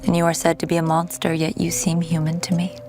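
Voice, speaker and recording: A woman's voice: lowish voice, monotone, quick pace, darkish tone, close to an everyday voice. An actress playing a neglected, bold young noblewoman desperate to escape, in an audio drama with music underneath. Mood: earnest, pleading. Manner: pointed, determined.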